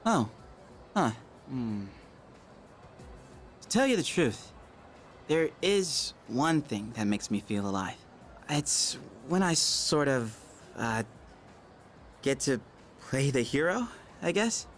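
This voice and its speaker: A man's voice: mid-range voice, very animated, slow, long pauses, soft background music. An actor voicing a cocky, goofy but earnest teenage boy in a video game, casual and sometimes awkward or theatrically boastful. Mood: awkward, reflective. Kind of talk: English video game voice acting.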